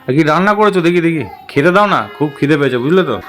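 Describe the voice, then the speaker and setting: A man's voice: fairly high pitch, animated, very fast, fairly bright tone. A man playing a hapless, henpecked village husband in a comic cartoon, rambling and repeating himself in bewildered complaint. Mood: bossy, impatient.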